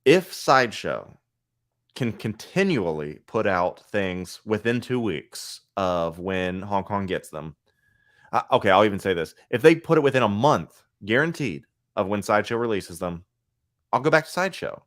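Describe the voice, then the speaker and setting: A man's voice: on the low side, very animated, moderate pace, dry recording, studio quality. A man in his thirties talking casually and candidly as a co-host on a collectors' stream, often restarting his sentences. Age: 30s. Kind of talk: casual podcast chat.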